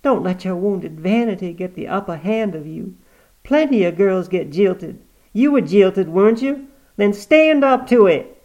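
A man reading aloud, voicing a sharp-tongued, stubborn old woman on her deathbed who scolds and commands. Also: mid-range voice, expressive, a little slow, muffled recording, voice pushed up. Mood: scolding. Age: 80s.

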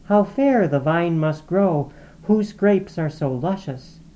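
A woman's voice: lowish voice, expressive, a little slow, warm tone, voice pushed up. A woman voicing a curious young girl in an urgent, wondering way.

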